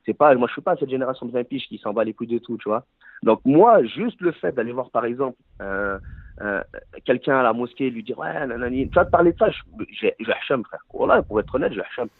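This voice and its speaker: Fast-talking thirties man on a phone line. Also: medium pitch, animated, no room sound. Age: 30s. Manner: fast, storytelling, self-correcting. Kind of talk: casual debate over a phone call. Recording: tinny phone line, dry.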